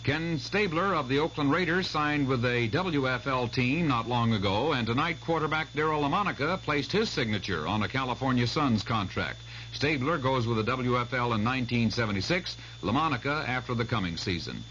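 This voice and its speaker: A man's voice: mid-range voice, lively intonation, brisk, hardly any pauses, fairly bright tone. An American man reading a scripted radio sports and news bulletin. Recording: dull old radio recording, faint hum.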